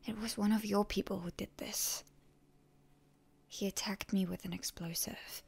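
A woman playing a proud, stubborn young heroine in an ASMR roleplay, in a breathy, often whispery voice with indignant bluster. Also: medium pitch, steady tone. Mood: indignant, defiant. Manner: indignant, halting, tsundere bluster. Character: proud, stubborn wounded young heroine, defiant toward her enemy.

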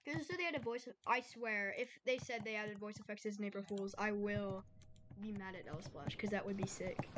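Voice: mid-range voice, fairly quick. A young gamer giving casual, rambling gameplay commentary full of slang and filler "like", recorded at home. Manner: rambling, slangy, uses "like" constantly. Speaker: gaming video creator. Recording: heavy noise, clicks.

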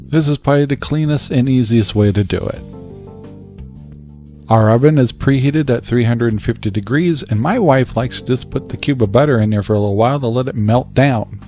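Older male cooking host, folksy and chatty, mid pitch, soft music bed. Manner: folksy, chatty, lots of filler "uh". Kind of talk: casual cooking voice-over.

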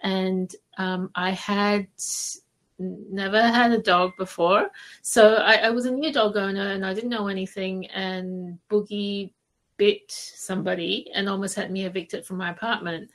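A woman from Malaysia speaking as a podcast guest, telling stories casually with hesitant, conversational pauses. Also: mid-range voice, steady tone. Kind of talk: casual interview storytelling.

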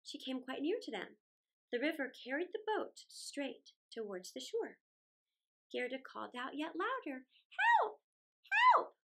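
A middle-aged woman tells a children's story in a high, expressive voice with a creaky edge. Her intonation swoops, and she phrases deliberately, stretching words for drama. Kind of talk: expressive read-aloud storytelling. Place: small indoor room, plain wall.

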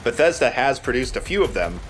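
A man's voice: medium pitch, big pitch swings, brisk, slight background noise, poor audio. A man hosting a let's play, talking casually as he comments on a video game.

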